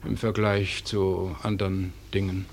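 An actor playing a genial, bumbling middle-aged father in a radio play, hesitant and halting, with wry humour. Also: sits low, level tone, vocal fry, slight background noise. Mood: halting, confiding. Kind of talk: German radio play acting.

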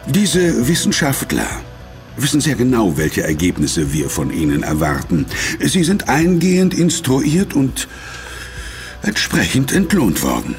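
Smug executive, animated delivery. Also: male voice, mid-range voice, measured pace, faint music behind. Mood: smug, ingratiating. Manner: smug, formal, hesitant before superiors. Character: imperious corporate executive, curt to staff, obsequious to superiors. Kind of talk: German audio drama acting.

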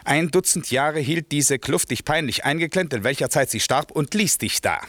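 A man's voice: on the high side, lively intonation, moderate pace, a bit bright, dry recording. A man playing a stern, imperious magician master who can turn benevolent, declaiming verse with authority in a German classical radio play. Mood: angry, accusing.

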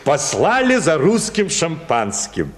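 An actor narrating a comic literary story for Russian radio as a wry, expressive storyteller. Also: male voice, on the high side, slow, heavily acted. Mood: wry storytelling. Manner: expressive, acts out quoted phrases.

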